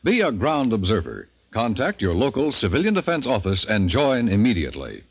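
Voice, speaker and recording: A man's voice: on the low side, huge pitch swings, fairly quick, husky. A man announcing in scripted 1950s radio style. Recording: old radio broadcast, music behind.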